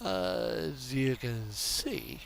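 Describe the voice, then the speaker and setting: Animated man, creaky voice, rambling commentary. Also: mid-range voice, very slow, slight background noise. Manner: rambling, thinking aloud, trailing off. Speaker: streamer playing a truck simulator. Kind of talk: casual chat, game commentary.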